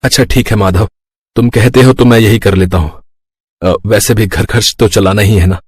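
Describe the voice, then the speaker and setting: A man's voice: on the low side, fairly even intonation, moderate pace, darkish tone, husky, no echo. A man voicing a worried, humble village eatery owner in a cartoon, speaking plaintively. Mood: earnest.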